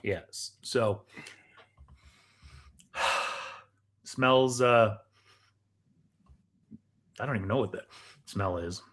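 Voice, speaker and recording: A man's voice: lowish voice, very slow, pauses often, a bit bright. A man co-hosting a show in casual chat as he tries snacks and drinks.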